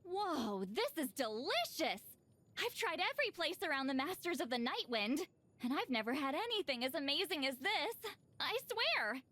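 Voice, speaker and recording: A woman's voice: high voice, very animated, moderate pace, pushed and bright, full character voice. A woman voicing a reclusive video game shaman, dry and weary yet easily flustered and defensive. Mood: delighted. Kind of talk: video game character voice lines.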